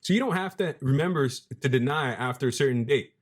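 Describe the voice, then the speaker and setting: Young male host with a deep voice, hyped and casual, slang-heavy, talks over what he reads. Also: fast. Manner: animated, hype-driven, street slang, restarts mid-phrase. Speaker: crypto video host. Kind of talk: casual commentary reading from a web page.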